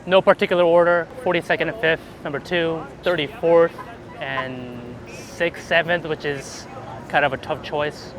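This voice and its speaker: A man chatting casually and informally as he is interviewed about street photography outdoors, animated and quick to laugh, swearing now and then. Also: a little high, crowd noise. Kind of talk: casual chat while walking outdoors. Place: outdoors on busy city streets. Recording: clear city street traffic noise.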